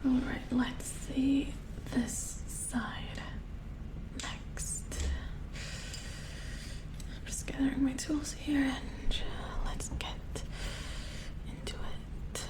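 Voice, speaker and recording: A woman softly whispering an ASMR roleplay as an engineer, murmuring gently and hesitantly to the listener. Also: sits high, little pitch movement, drawn-out words, pauses often. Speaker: ASMR roleplayer playing a robot repair engineer.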